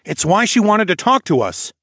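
An earnest male traveller in an audiobook, voiced by a man, steady and determined. His voice has some gravel and a dry, close sound. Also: high-pitched, moderate pace, dry recording, pitched above the performer's own voice, heavily acted. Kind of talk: audiobook character dialogue.

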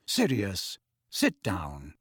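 A man's voice: a little high, big pitch swings, moderate pace, dry recording, clean studio sound, pitched above the performer's own voice. A man voicing a reasonable, firm peacemaker in an audiobook, precise in how he speaks. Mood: sharp.

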